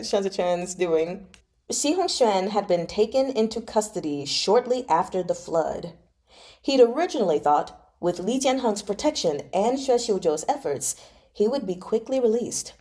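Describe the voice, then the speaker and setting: A woman's voice: medium pitch, darkish tone. A woman hosting a read-along, reading a novel aloud and chatting casually about it as she goes. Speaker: host of a live book read-along.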